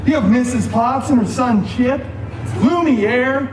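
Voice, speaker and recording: A man's voice: very high-pitched, a little slow, far from the mic, background noise. A man playing a campy, self-absorbed wisecracking antihero, telling stories theatrically with sarcastic asides in a live comic stage show. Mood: indignant. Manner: theatrical, mock storybook delivery with sarcastic asides. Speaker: costumed theme park stage performer. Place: outdoor theme park stage, front-row recording. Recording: echoey outdoor stage, loud music, crowd.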